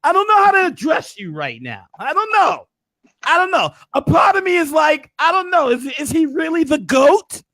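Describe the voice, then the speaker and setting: Hoarse, high-voiced man hyping a recap. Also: sing-song, normal speed, sharp tone. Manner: hype, preacher-like flourishes. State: hoarse, losing his voice. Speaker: host. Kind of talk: casual commentary recap.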